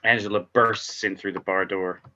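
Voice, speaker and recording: A man with a very low yet forceful, bright voice narrates a script's scene directions. His delivery is wry and offhand. The recording is a little dull and lo-fi. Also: steady tone, normal speed. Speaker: reader of stage directions. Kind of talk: scripted narration at a table read.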